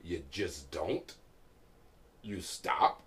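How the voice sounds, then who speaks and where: A man's voice: low voice, animated, extremely slow, fairly bright tone. A man on a podcast in casual banter, speaking bluntly and sceptically with plenty of swearing. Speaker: podcast guest or co-host. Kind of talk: casual chat.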